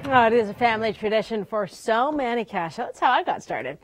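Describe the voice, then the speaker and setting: A woman's voice: fairly high pitch, expressive, a little fast, slight background noise. Friendly woman anchoring from the studio. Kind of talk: live newscast banter.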